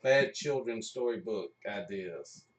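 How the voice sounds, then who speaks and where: A man's voice: on the low side, slow. A man joking around in a casual group chat, often laughing as he talks. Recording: roomy, picked up far from the mic.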